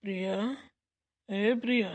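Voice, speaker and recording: A woman's voice: medium pitch, steady tone, slow pace, close to an everyday voice. A woman voicing a nagging, grumpy young husband in a family cartoon, bickering in a casual, curt way. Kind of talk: amateur Hindi family cartoon dialogue.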